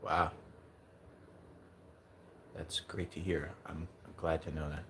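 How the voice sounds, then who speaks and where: A man's voice: a bass voice, takes it slowly. An American man of twenty-nine from California, chatting casually as a streamer with a viewer and asking friendly, curious questions. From California, USA. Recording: steady background noise.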